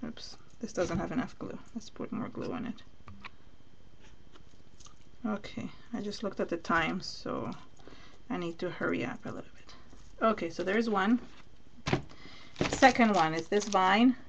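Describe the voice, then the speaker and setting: Gravelly-voiced woman with a Venezuelan accent. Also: mid-range voice, a little slow, pauses often, a little hiss or hum. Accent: Venezuelan Spanish. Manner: chatty, thinks aloud while working, answers viewer comments. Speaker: craft demonstrator on a live stream. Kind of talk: live crafting demonstration, chatting with viewers.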